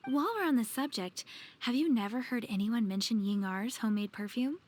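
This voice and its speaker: A woman's voice: on the high side, brisk, darkish tone, lightly acted. A woman playing a demure, polite, slightly flustered young fortune teller in a video game. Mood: helpful. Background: video game music.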